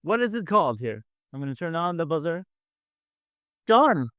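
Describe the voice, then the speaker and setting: A man with a fairly high voice hosts a casual online quiz. He is encouraging and tends to repeat himself. The sound is close and dry, on a video call mic. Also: very animated, moderate pace, bright, forceful tone, clear, resonant tone, dry recording. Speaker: quiz show host. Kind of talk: casual game show hosting.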